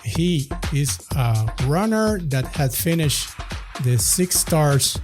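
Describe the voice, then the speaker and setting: A man with a Puerto Rican accent hosting a podcast in his second language, with a lively, sing-song delivery. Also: fairly high pitch, slow, over music. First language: Spanish. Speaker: podcast host. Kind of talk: casual podcast chat.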